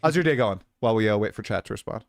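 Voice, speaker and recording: A man's voice: lowish voice, expressive, very fast, vocal fry, no echo, studio quality. A man in his late twenties hosting a casual livestream, chatty and self-deprecating, coming down with a cold. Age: 20s. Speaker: streamer host.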